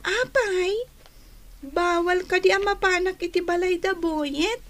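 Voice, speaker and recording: A woman playing a lively little girl in a radio drama, with a Filipino accent. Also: very high voice, takes it slowly, exaggerated voice. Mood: chatty, pleading.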